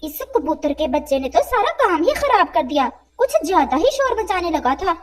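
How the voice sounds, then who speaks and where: Woman playing a high-pitched scheming crow wife. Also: very animated, normal speed. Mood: annoyed. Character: scheming, flattering wife crow, a crooked shopkeeper.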